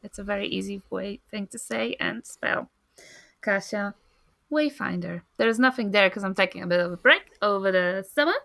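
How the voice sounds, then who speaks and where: A woman speaks casually on a podcast. Her voice is creaky yet bright, in a very dry recording. Her manner is wry and low-key. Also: normal speed, no room sound. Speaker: podcast player. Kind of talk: casual chat.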